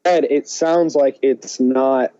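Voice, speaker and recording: Laid-back male co-host, mellow muffled voice. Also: mid-range voice, fairly even intonation, very slow, smooth. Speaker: podcast co-host. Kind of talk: casual chat. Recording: dull, muffled lo-fi sound.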